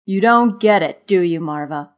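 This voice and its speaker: A woman's voice: sits low, steady tone, normal speed, dull audio, close to an everyday voice. A woman plays a male storybook grasshopper who worries sourly about everything. The delivery is emphatic and snappy, with an exasperated, grumbling edge. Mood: weary, reproachful.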